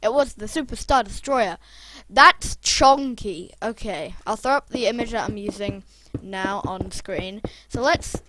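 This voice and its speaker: A young YouTuber with a croaky voice, rambling casually and chatting through a building video. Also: medium pitch, unhurried, bright, forceful tone, no echo, rough recording. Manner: rambling, chatty, self-deprecating. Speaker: Minecraft YouTuber. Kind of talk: casual gameplay commentary.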